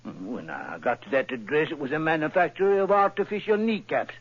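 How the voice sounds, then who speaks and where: An actor playing a plain-spoken, earnest middle-aged shopkeeper in an old radio drama, with homely, rustic turns of phrase. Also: male voice, on the high side, animated, brisk, breathy edge. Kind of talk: 1940s radio acting. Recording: muffled dry old radio recording.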